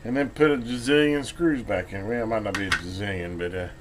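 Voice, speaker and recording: A man's voice: on the low side, brisk. Folksy American man over background noise. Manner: folksy, informal, muttering to himself while working. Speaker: repair vlogger. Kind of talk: casual hands-on demonstration talk.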